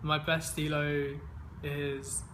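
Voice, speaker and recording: Bright-voiced young man, easygoing. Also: fairly high pitch, little pitch movement, takes it slowly, slight background noise. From England. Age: 20s. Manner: easygoing, many fillers and restarts. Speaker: interviewee, games player. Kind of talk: casual interview answers.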